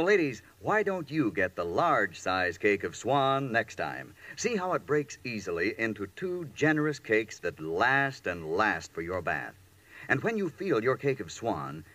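A man's voice: medium pitch, a bit bright. A man announcing in 1940s radio style, with a polished, salesmanlike delivery.

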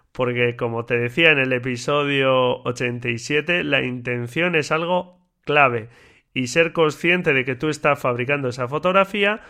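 Stuffy-nosed man hosting a chatty podcast. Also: mid-range voice. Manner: relaxed, chatty, many fillers and restarts. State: congested, stuffy nose. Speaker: podcast host. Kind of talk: casual solo podcast talk.

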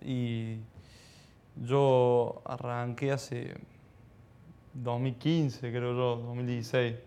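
A man of about twenty with an Argentine accent, giving modest, halting answers as a footballer in a casual interview. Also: mid-range voice, level tone, warm tone, smooth. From Argentina, childhood in Ecuador. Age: around 20. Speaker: professional footballer being interviewed.

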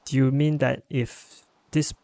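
Gravelly young Malaysian man, relaxed. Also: medium pitch, unhurried, no echo. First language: Chinese. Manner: relaxed, thoughtful, hesitant with "uh". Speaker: podcast co-host. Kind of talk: casual chat.

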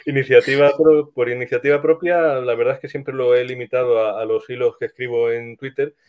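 A man's voice: mid-range voice, fairly even intonation, brisk. A man giving casual, thoughtful and hesitant interview answers as a screenwriter. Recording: dull, lo-fi sound.

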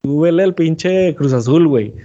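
A man's voice: fairly high pitch, moderate pace, dull audio. Slangy man chatting. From Monterrey, Mexico. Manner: slangy, animated, acts out quoted voices. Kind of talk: casual chat.

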